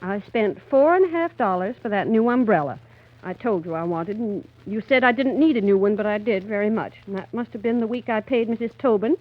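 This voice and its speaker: An actress playing a flustered middle-aged wife, pleading and insistent, in 1930s radio acting style. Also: female voice, medium pitch, animated, brisk, natural voice. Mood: insistent, self-justifying. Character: flustered middle-aged wife, plaintive yet stubbornly illogical. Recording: dull 1930s radio recording.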